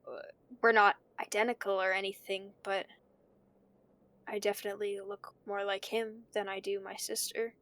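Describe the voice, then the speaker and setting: An actress playing a troubled, brooding young woman confiding haltingly in an audio drama, with a creaky voice. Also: mid-range voice, level tone, fairly bright tone, lightly acted. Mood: uneasy, reflective. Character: troubled young woman haunted by dead relative. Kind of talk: audio drama monologue, intimate confessional recording.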